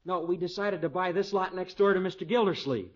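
Friendly earnest young american husband, old radio comedy, kinda muffled. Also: male voice, high voice, fairly quick. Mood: earnest, puzzled. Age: young adult. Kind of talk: 1950s radio comedy acting.

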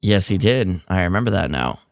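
A man co-hosting a podcast, chatting casually through a story recap in a halting, loosely phrased way that often trails off. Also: deep voice, big pitch swings, creaky, no echo, clean studio sound. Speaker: podcast co-host.

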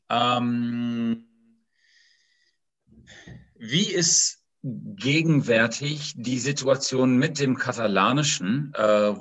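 A man asking questions after a talk, halting and full of restarts. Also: medium pitch, a bit bright. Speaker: audience member asking questions. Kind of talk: conversational questions.

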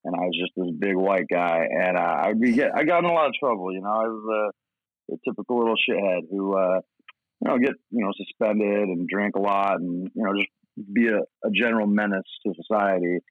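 Tinny phone-like sound, American man, low dry voice, rambling casual podcast guest. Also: a little fast, dry recording. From Portland, Oregon, United States. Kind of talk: casual anecdotal chat.

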